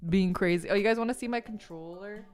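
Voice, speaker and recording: A woman's voice: medium pitch, fast, a little mellow, soft background music. A woman streamer chatting casually from her home gaming room, rambling and giggling, with lots of ums while she lists her things. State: stuffed up after a missed sneeze. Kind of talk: casual stream chat while building a model kit.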